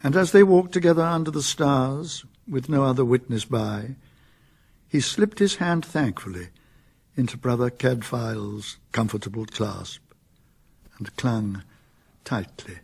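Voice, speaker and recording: A British man narrating a literary radio drama in a husky voice, solemn and measured. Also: medium pitch, darkish tone. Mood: tender. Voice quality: husky, creaky.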